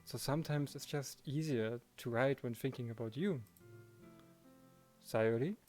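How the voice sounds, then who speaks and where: A man's voice: medium pitch, unhurried, darkish tone, soft background music, close to an everyday voice. A man voicing an earnest, protective teenage boy who narrates and speaks as the protagonist of a visual novel. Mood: earnest, concerned.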